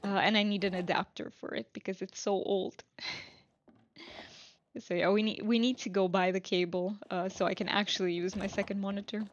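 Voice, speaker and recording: A woman live streamer chatting casually while playing a video game, relaxed and rambling as she thinks aloud. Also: medium pitch, moderate pace, dull audio. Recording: music playing behind the voice. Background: music.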